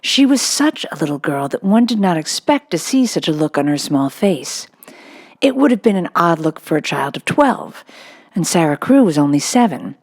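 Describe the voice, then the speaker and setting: A woman with a low voice narrates a children's book. Her delivery is scripted storytelling, read aloud for young listeners. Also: very animated, normal speed, darkish tone.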